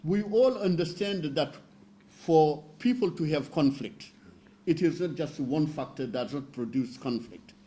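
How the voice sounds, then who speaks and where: A man from northern Senegal speaking as a panelist at a roundtable, explaining slowly and carefully with fillers and restarts. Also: on the high side, warm tone. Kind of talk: roundtable talk.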